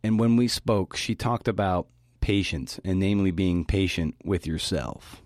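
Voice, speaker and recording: Podcast host: male with a deep voice, scripted narration delivery, very dry sound. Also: unhurried, darkish tone, no room sound. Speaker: podcast host giving a talk. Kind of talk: scripted talk narration linking interview clips.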